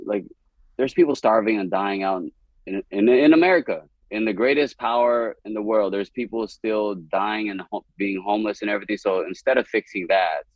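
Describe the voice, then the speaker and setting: A low-pitched young man from East Dallas, Texas, chatting candidly on a podcast. He rambles in an animated way and laughs a lot. The sound is dry and a bit dull. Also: no room sound. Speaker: comedian guest.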